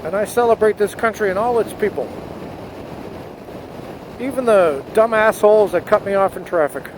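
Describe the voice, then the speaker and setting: A man's voice: high voice, moderate pace. A man from the United States giving an earnest spoken essay while riding his motorcycle, speaking into a helmet headset mic. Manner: earnest, rising to patriotic fervor. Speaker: motovlogger. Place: on a motorcycle outdoors. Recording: wind noise on helmet mic.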